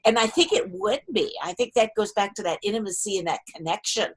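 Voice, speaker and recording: A woman's voice: mid-range voice, brisk, breathy edge. A woman moderating a casual live online panel who often restarts and stumbles over her words. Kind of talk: casual panel chat on a livestream.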